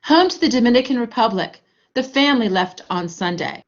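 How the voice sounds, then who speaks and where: Girl doing a kind sad lady teacher from a storybook, swoopy pitch, lo-fi audio. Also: animated, brisk, lightly acted. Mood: gentle, sad. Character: kind, sorrowful woman schoolteacher. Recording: dull lo-fi recording.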